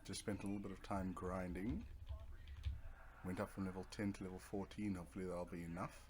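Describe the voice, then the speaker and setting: A man's voice: low voice, steady tone, brisk, darkish tone. A man giving casual let's play commentary as a gaming video host, laid-back and muttering asides to himself.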